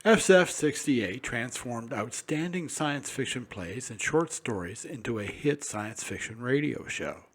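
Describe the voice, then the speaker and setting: A man's voice: medium pitch, animated, unhurried, few pauses. A man hosting a radio programme with polished, theatrical scripted narration. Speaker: radio host and guide.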